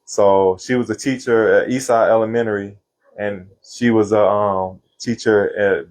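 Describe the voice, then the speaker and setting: A man of fifty from Florida, telling stories from his life in a relaxed interview. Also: fairly low pitch, moderate pace. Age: 50s. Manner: relaxed, laughing, Southern slang. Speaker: interview guest, singer. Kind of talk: casual storytelling interview.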